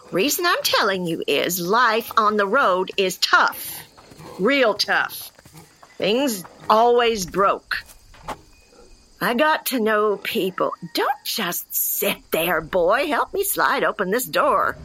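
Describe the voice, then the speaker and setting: An actress playing a stern, practical old rural great-aunt in an audio drama, with a folksy, no-nonsense way of talking. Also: female voice, mid-range voice, pushed and bright, exaggerated voice, low-quality recording. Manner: firm, no-nonsense.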